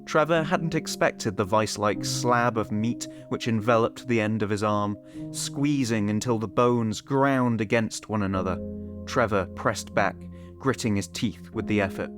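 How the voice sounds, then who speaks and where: A man's voice: on the low side, unhurried, music in the background. A man reading scripted audio fiction as the story's narrator.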